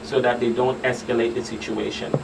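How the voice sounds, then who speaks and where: A man explaining things in a measured way as the expert guest on a radio talk show. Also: medium pitch, little pitch movement. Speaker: guest expert, professional counselor. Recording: distant mic, clearly noisy background.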